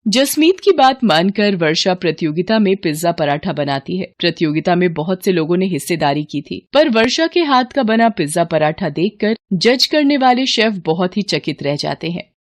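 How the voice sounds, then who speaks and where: A woman's voice: lowish voice, sing-song, moderate pace, few pauses. A woman reading scripted narration as the storyteller of a moral tale.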